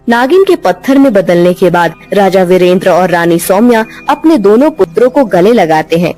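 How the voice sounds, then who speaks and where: A woman narrating a dubbed cartoon moral story in a steady storybook manner. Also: medium pitch.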